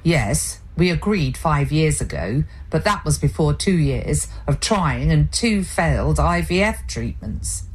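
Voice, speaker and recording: A sour, sarcastic husband who has been drinking, read by a woman narrator. The voice sits low and dark, dripping with bitterness and self-pity. He is from a read-aloud story. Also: lively intonation, a little slow, hardly any pauses, breathy edge, faint music behind, exaggerated voice, poor audio. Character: bitter, self-pitying British husband, drunk.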